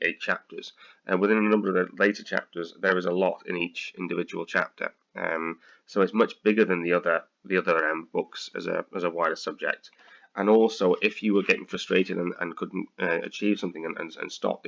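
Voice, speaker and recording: A British man with a slightly croaky voice, tutoring accounting students through a software lesson, hesitant with many ums and restarts. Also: sits low, highs cut off. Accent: British English. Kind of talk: screen-recorded software tutorial.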